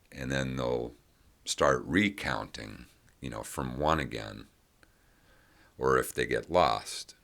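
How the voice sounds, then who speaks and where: Male meditation guide, extremely low pitch with a bright, clear-edged tone, gentle phrasing and generous pauses. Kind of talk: guided meditation, unscripted instruction.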